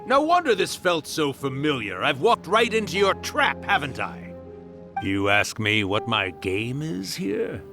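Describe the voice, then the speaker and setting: Menacing author spirit, game, slow, wide-ranging and gloating. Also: male voice, on the high side, big pitch swings. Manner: theatrical, gloating, swings from hushed to grand. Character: scheming male spirit of a dead author, theatrical and menacing. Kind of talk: video game voice acting. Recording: game audio with loud music.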